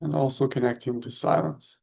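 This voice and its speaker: A man leading a guided meditation in short, unhurried, soothing phrases with long pauses between them. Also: mid-range voice, fairly even intonation, vocal fry, a little mellow.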